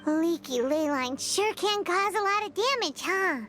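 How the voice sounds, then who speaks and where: A woman voicing a tiny, perky floating fairy companion with a very high, squeaky childlike voice and a bubbly, eager manner. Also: animated, a little slow, a bit bright, soft background music, exaggerated voice. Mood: curious. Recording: noisy game capture.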